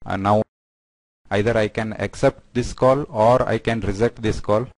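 Male product demonstrator, halting explanatory delivery, little pitch movement, close dry sound. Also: fairly even intonation, a little mellow, dry recording. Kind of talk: screen-share product demo narration.

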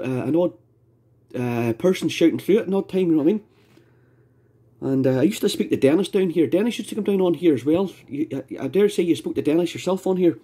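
A man's voice: a little high, dark tone, husky. A Scottish man chatting casually as an amateur radio operator, rambling and repeating his phrases. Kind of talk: casual ham radio chat.

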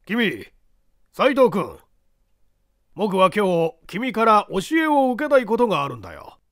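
A man voicing a smug, probing judge in an audiobook, deliberate and condescendingly genial as he questions a suspect. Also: high-pitched, wildly swooping pitch, fairly bright tone, no room sound, clean studio sound, pitched above the performer's own voice, full character voice. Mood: smugly genial. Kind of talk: dramatic audiobook character reading.

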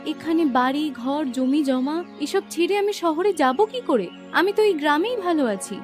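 A woman's voice: high voice, lively intonation, normal speed, music in the background. A woman playing a kind, loyal, inquisitive young village woman in a cartoon, earnest and questioning. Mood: curious. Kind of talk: cartoon voice acting.

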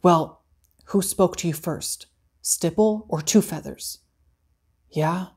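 Brief: a young man playing a frazzled fair official, exasperated and quick on the phone. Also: high voice, darkish tone, husky, full character voice.

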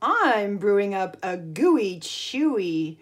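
A woman's voice: mid-range voice, very animated, slow. A woman doing a boastful sing-song dingo. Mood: pleased. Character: boastful singing male dingo cook. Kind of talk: picture book read-aloud.

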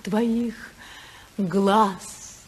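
An actress playing a passionate, unhappy senator's wife in love, in a fervent, emotional 1950s radio drama style. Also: female voice, mid-range voice, fairly even intonation, drawn-out words, a little hiss or hum. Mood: tender, yearning.

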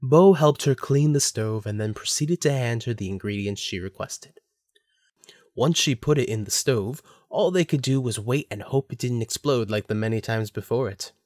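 A man's voice: medium pitch, normal speed, a little mellow, no echo, clean studio sound. A man narrating a scripted story for an audio drama.

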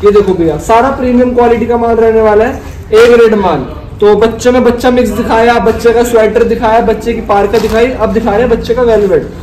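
A man in his twenties with a North Indian accent gives an informal, repetitive sales pitch to camera, addressing viewers as friends. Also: fairly even intonation, a little fast, a little mellow. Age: 20s. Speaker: wholesale clothing seller and vlogger. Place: large indoor warehouse full of clothing bales. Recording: echoey room with clear background noise.

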